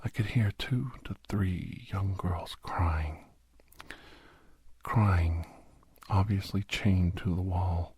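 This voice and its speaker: A man playing a grave, haunted doctor and war veteran in an ASMR horror audio drama, speaking slowly in a breathy, husky hush that often slips toward a whisper. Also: low voice, no room sound, heavily acted. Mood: fearful, haunted. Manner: slow, halting, hushed, often slipping into whisper.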